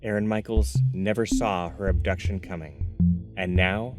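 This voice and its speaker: Grave true crime narrator, lowish voice, measured and ominous, music clearly behind. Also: male voice, steady tone. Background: prominent music.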